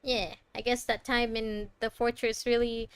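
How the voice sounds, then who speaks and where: A woman's voice: fairly high pitch, level tone, a little slow, clear, resonant tone, no echo. A woman doing casual game playthrough commentary, playfully teasing and talking back to the characters on a close microphone. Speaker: game streamer.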